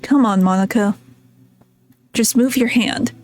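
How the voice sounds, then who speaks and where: A woman reading a perfectionist teenage girl club president in a soft, halting voice. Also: fairly high pitch, natural voice. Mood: strained.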